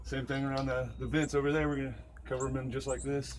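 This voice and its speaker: A man's voice: mid-range voice, level tone, fast talker. A male siding crew worker, plain-spoken and polite, explaining the job outdoors on a noisy work site. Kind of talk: casual work chat.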